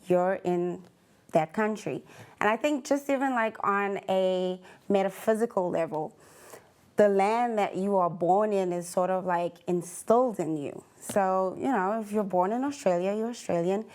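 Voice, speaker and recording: A woman's voice: medium pitch, a little slow, a little mellow. A woman on a discussion panel, arguing firmly and matter-of-factly, laying out her point step by step. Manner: firm, matter-of-fact, lays out her argument step by step. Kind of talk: panel debate.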